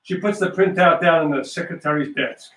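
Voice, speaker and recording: A man's voice: a little high, brisk, pushed and bright. A man narrating a script aloud, reading out stage directions. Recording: echoey room, distant mic.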